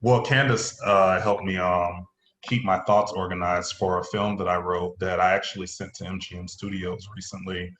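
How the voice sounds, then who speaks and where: A man's voice: deep voice, flat delivery, moderate pace, fairly bright tone. A man chatting casually as a playwright about his own writing. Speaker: playwright, acting teacher. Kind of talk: casual chat. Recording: roomy, faintly noisy remote call.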